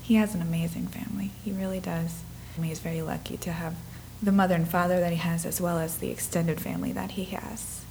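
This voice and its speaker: A woman in her twenties from Minnesota, telling her life story in an interview, earnest and reflective, sometimes dropping to soft breathy asides. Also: lowish voice, level tone, fairly quick, hardly any pauses, slight background noise. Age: 20s.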